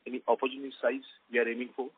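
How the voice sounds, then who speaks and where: A man talks very quickly in a husky voice. He is an analyst calling into a company call on a thin phone line. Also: medium pitch, level tone, no room sound. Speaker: analyst asking questions. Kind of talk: earnings call questioning.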